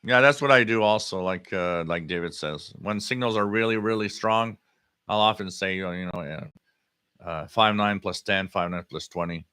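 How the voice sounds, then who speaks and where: A man hosting a casual live hobby stream, answering chat comments in a halting way and often repeating his words. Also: on the low side, pushed and bright, smooth, dry recording, clean studio sound. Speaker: livestream host.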